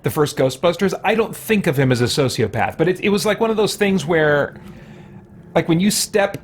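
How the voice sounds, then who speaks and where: A man does casual livestream commentary in a slightly high voice. He rambles and muses aloud to viewers. Light noise sits behind his voice. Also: animated, normal speed. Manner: rambling, thinking aloud, chuckling often. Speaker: game livestream host.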